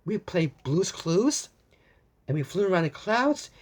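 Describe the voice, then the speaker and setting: A sweet, eager young puppy from a children's storybook , acted out by a storyteller. The voice lifts and swings with friendly excitement and takes its time over the words. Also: mid-range voice, unhurried. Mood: cheerful, eager.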